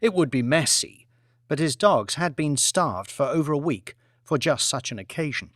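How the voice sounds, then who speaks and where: A man reads fiction as a dramatic audiobook narrator. His voice sits a little high and moves expressively, with deliberate phrasing. The recording is very dry. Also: expressive, a bit bright, dry recording.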